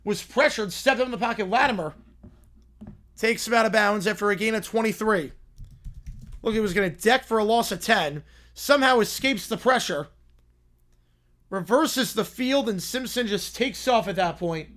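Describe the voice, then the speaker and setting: A man hosts a live game stream and calls the football play by play with a high, bright voice. His voice is hoarse and worn from long use. He reacts to the action and answers chat between plays. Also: moderate pace, pauses often. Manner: excited, rising commentary on big plays, quieter asides to chat.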